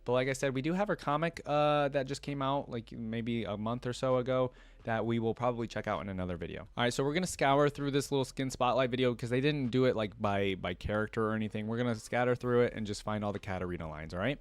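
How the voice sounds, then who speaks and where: A man's voice: medium pitch, fast, clean tone, no echo. A man giving casual, off-the-cuff reaction commentary as a video host.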